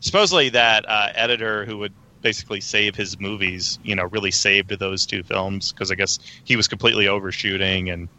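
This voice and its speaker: A man with a low, very bright and sharp voice talks casually about films. His delivery is relaxed and conversational, with frequent fillers. It sounds like an easygoing podcast chat. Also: brisk, few pauses. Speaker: podcast co-host. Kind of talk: casual film discussion.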